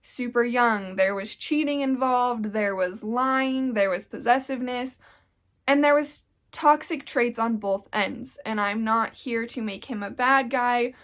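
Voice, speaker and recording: A woman's voice: a little high, a little slow, a bit bright. Congested young woman vlogging earnestly. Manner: earnest, rambling, with frequent "like" fillers. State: congested, getting sick. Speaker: YouTuber. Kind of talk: casual sit-down confessional vlog.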